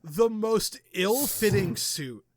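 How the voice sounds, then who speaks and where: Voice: medium pitch, slow pace, heavy background noise. A game master narrating a tabletop wrestling game, rambling with lots of fillers. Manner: lively, rambling with many fillers.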